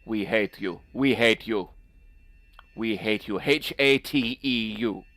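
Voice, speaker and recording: A man's voice: on the low side, slow pace, a bit bright, dry recording. A man playing an eerie, hateful little boy in a horror game, chanting accusations. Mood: menacing hatred.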